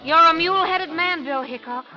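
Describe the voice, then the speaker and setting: A woman's voice: very high voice, normal speed, bright, forceful tone, heavily acted. An actress playing a brash, loyal frontier saloon woman with folksy, blunt talk in old radio drama style. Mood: blunt, worried. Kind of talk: 1940s radio acting. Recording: muffled old radio broadcast with music.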